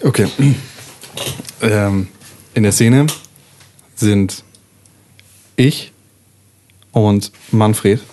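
A man's voice: on the low side, very slow, pauses often, a little mellow, a little hiss or hum. A man improvising scene narration as a player in a tabletop role-playing podcast among friends.

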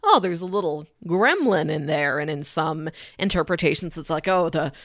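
A woman's voice: deep voice, lively intonation, normal speed, fairly bright tone, no room sound, clean studio sound. A woman co-hosting a podcast in casual chat, explaining things informally. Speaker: podcast co-host.

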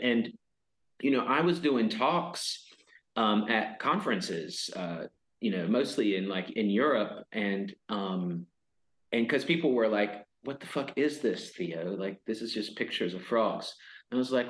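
A man with a naturally loud voice, chatting in a loose, rambling way with plenty of fillers and casual swearing. His pitch sits in an ordinary middle range. The sound carries a noticeable roomy echo. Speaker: podcast guest. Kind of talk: casual storytelling chat. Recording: roomy echoey room sound.

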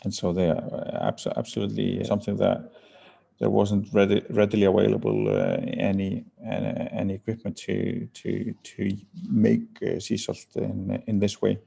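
A man from Iceland answering interview questions as a company founder, speaking haltingly with many fillers and restarts. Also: on the low side, gravelly, dark tone, slightly rough.

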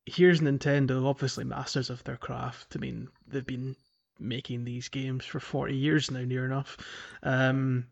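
A man's voice: medium pitch, flat delivery, a little slow, vocal fry, hardly any pauses, muffled recording. A man co-hosting a podcast in casual gaming chat, often repeating words as he thinks and chuckling as he goes. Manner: chuckles often while talking. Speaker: podcast co-host. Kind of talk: casual chat about a video game.

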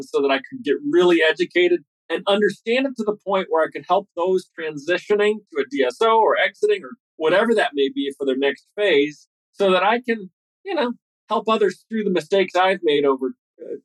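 A man in his forties, a dentist and podcast guest, telling his career story in a fast, eager way with frequent restarts. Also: a little high, no echo, rough recording. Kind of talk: podcast interview answers.

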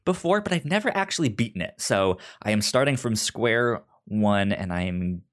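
A man's voice: fairly low pitch, lively intonation, normal speed. A man hosting a podcast in a casual, chatty way, often stumbling over and repeating his words. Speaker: podcast host.

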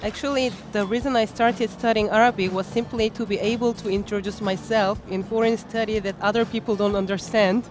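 A woman's voice: medium pitch, fairly even intonation, music in the background. A young woman with a Korean accent, speaking carefully with many hesitations as an interview guest at a busy book fair. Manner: careful, measured speech with frequent hesitations.

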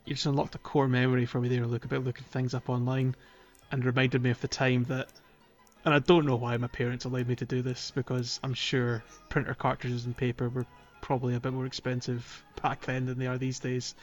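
Male co-host for a game walkthrough podcast, medium pitch, conversational and a bit halting, soft music bed. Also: monotone, muffled recording. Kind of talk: casual game walkthrough chat.

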